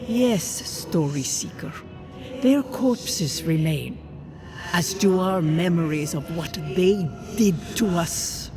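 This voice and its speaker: An actor voicing a solemn ancient spirit guardian of a fallen people in a fantasy game, speaking formally and gravely. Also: mid-range voice, lively intonation. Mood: mournful, grave. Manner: slow, grave, formal, archaic phrasing. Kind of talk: dramatic fantasy video game acting. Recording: game music under the voice.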